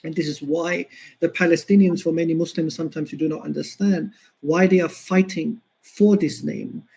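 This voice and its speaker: A man's voice: fairly high pitch, fairly even intonation, moderate pace, vocal fry, darkish tone. A man giving an earnest history lecture, driving points home by repeating them. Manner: earnest, emphatic repetition. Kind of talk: explanatory lecture.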